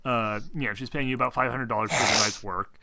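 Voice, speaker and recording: A man narrating a tabletop game as game master in a casual, halting, hedging way. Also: medium pitch, steady tone, heavy background noise.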